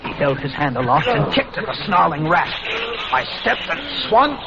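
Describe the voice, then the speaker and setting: Tense, dramatic radio narrator. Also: male voice, on the high side, big pitch swings, vocal fry. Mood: urgent. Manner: suspenseful, dramatic, deliberate phrasing. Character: tense, steady man recounting his own ordeal. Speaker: narrator and lead character. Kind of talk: 1950s radio drama first-person narration. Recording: dull, noisy old radio recording.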